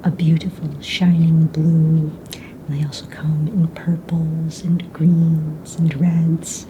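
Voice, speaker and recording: A woman playing a calm, businesslike young fantasy egg hunt official in a breathy, soft-spoken ASMR roleplay, casual and matter-of-fact. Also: deep voice, takes it slowly, slight background noise, rough recording. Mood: calm, polite. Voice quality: breathy, whispery.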